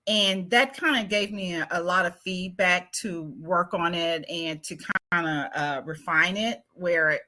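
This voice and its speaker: Reflective woman writer, slightly low. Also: a little slow, few pauses, bright, forceful tone. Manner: reflective, self-doubting, laughs lightly, many fillers. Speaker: award-winning writer, guest. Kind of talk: casual interview answers.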